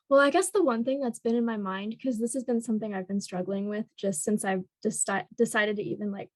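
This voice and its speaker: A woman's voice: mid-range voice, dry recording, rough recording. A twenty-year-old woman talking casually as a trainee, tentative and agreeable in manner. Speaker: new real estate trainee being coached. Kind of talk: casual, conversational replies.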